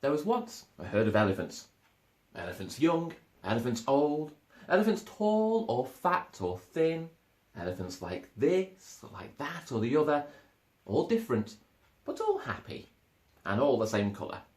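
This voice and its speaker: A man in his thirties giving an expressive narration of a children's storybook. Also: medium pitch, a little slow. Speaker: storybook reader. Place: small living room, indoors. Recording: echoey living room.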